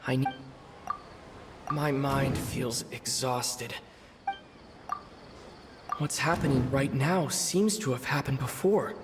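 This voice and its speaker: An actor playing an exhausted, confused man who speaks in halting, repeating phrases in a video game. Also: mid-range voice, over music, natural voice. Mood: dazed. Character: mentally drained man muddled in circling thoughts. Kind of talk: English video game voice acting.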